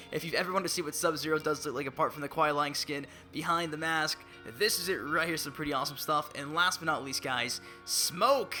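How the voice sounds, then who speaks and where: A young man hosting casual gaming commentary, excitable and hype-driven, his voice slightly raspy as it recovers. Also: fairly high pitch. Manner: excitable, hype-driven, laughs and blurts out reactions. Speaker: gaming YouTuber.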